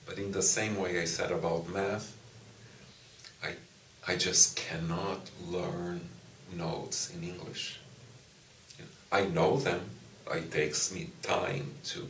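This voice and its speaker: A middle-aged professor from Brazil whose first language is Portuguese talks slowly in a very low voice. He answers thoughtfully, with many pauses and restarts. The recording is roomy and taken from some distance, with faint ambience behind. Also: little pitch movement, fairly bright tone, a little hiss or hum. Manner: slow, thoughtful, with frequent "you know" and restarts. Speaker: university professor. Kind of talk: interview answers. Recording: echoey room, distant mic, faint ambience.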